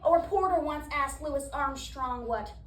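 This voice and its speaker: A woman performing a sassy, devout diner waitress in a theatrical monologue, preaching with emphatic, dramatic pauses. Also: sits high. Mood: fervent, emphatic. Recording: echoey room, distant mic, background noise.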